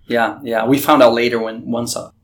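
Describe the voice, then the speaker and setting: Brazilian guy with a gravelly voice, chatty, lots of "you know", faint hiss behind. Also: mid-range voice, flat delivery, normal speed. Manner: storytelling, acts out quoted dialogue, frequent fillers. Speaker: missionary leader, guest. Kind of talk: conversational testimony interview.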